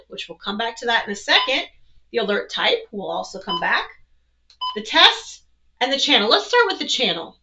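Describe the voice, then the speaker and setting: A woman's voice: high-pitched, bright, forceful tone, slight background noise, poor audio. A woman presenting an instructional product walkthrough, explaining things step by step. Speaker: presenter, weather educator.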